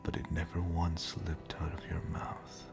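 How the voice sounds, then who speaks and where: An actor playing an ancient, brooding, homesick spacefaring captain in an audio drama, speaking solemnly and deliberately in a gravelly, breathy near-whisper. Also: male voice, low-pitched, completely flat delivery, over music. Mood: bitter. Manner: slow, solemn, deliberate storytelling. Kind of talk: scripted audio roleplay drama.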